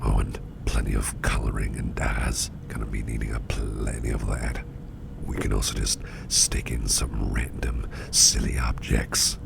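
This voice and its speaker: A man playing an attentive, easygoing businessman boss in a soft, breathy, whispery ASMR roleplay, unhurried and soothing, murmuring close to the microphone. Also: level tone, noisy background, full character voice. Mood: relaxed, musing. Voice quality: very low, gravelly, breathy near-whisper. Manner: unhurried, soothing, indulgent, musing aloud.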